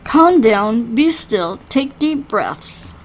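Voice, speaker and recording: Woman reading as a calm, dryly reassuring male healer. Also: fairly high pitch, heavily acted, low-quality recording. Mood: calm, firm. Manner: measured, dry, explanatory. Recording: faint background noise.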